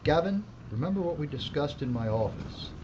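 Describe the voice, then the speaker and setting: An actor playing a stern but courteous senior police officer in an audio drama, formal, clipped and officious. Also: male voice, a little high, expressive, a little fast, darkish tone, dull audio, slight background noise, lightly acted.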